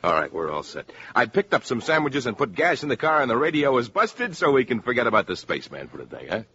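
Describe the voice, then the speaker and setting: An actor playing a breezy, flirtatious suitor in a 1950s radio drama, casual and jaunty. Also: male voice, mid-range voice, wildly swooping pitch, fast, sharp tone, heavily acted. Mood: cheerful, playful. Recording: dull, noisy 1950s radio recording.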